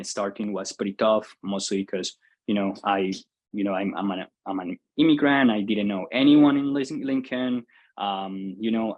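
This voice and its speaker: Colombian-accented man in his thirties, Spanish native, lowish voice, halting and filler-heavy interview guest. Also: darkish tone, muffled recording. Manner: hesitant, many fillers and restarts. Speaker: guest, software entrepreneur. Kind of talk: casual interview answer.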